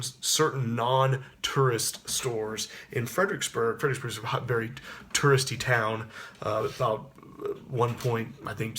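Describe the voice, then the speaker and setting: A man in his thirties from Texas, with a Texas accent and a baritone voice, talking casually to camera and rambling with many restarts. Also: a bit bright. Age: 30s. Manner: rambling, with frequent restarts and fillers. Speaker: actor and singer. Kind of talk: casual spoken reflection to camera.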